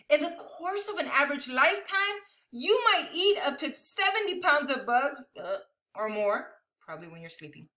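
A woman reads a children's book aloud in a high, very lively voice. Her delivery is expressive and bright, and she breaks off for chatty asides to her young listeners. The sound has the echo of a room at home. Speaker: elementary school teacher reading a picture book aloud. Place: living room at home, indoors.

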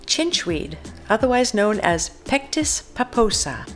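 A woman's voice: on the high side, sing-song, a little slow, over music. An older woman giving a scripted voice-over for a hiking video, informative and guide-like. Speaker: hiking vlogger narrator.

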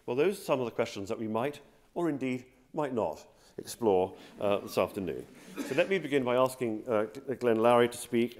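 A middle-aged British man speaking formally as an academic host at a lectern, measured, erudite and hesitant. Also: fairly low pitch, darkish tone. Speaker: academic host chairing a panel. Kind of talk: formal introduction to a symposium. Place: university lecture hall. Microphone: lectern microphone.

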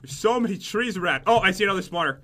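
A man hosting a gaming video with casual, playful commentary in an excitable, teasing manner. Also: high voice, very fast, a little hiss or hum.

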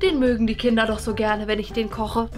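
A woman's voice: on the high side, little pitch movement, a little fast, over loud music, lightly acted. A woman playing a doting, fussy grandmother in a family sketch, chattering about herself in the third person. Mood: fussy, muttering. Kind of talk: scripted family sketch for children. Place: indoor rooms, camera a short distance away.

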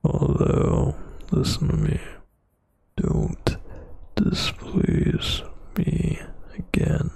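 A man performing intimate boyfriend ASMR as a huge, dominant, teasing roommate, in a breathy, gravelly half-whisper close to the mic. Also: mid-range voice, huge pitch swings, darkish tone, dry recording. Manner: slow, teasing, dominant murmur. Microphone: close microphone.

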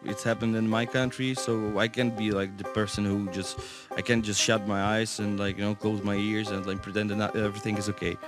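Ukrainian-accented man, halting English. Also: fairly low pitch, flat delivery, over music. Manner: halting, searching for words. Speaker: touring singer-songwriter. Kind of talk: casual interview in English. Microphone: studio microphone.

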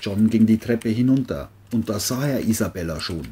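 A man's voice: on the low side, lively intonation, moderate pace, mellow, breathy edge. A man narrating a scripted crime story as an audiobook-style reading.

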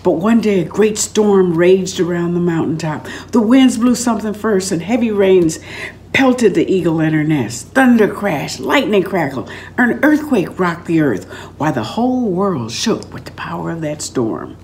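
Older woman, slightly low voice, dramatic read-aloud storyteller, noisy home recording. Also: animated, normal speed, a little mellow. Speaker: author reading aloud from own book. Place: room at home, indoors.

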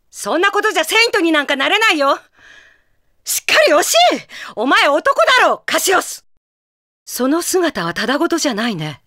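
A high-pitched, fierce anime warrior woman. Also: pushed and bright, no echo, studio quality, exaggerated voice. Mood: scolding. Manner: tough, commanding, rough-spoken. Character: proud, fierce young female warrior, secretly tender. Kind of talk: Japanese anime game voice acting.

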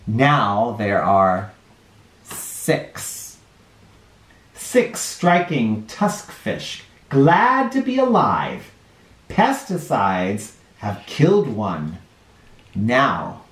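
A man in his forties reading a children's picture book aloud at home, expressive, dropping his voice low on each count. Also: echoey room. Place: living room with couch and toys, indoors.

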